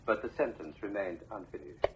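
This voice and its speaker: An actor playing a radio newsreader, giving a scripted bulletin in a formal, measured manner. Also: male voice, deep voice, lively intonation, poor audio. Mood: formal, factual. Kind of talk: scripted news reading.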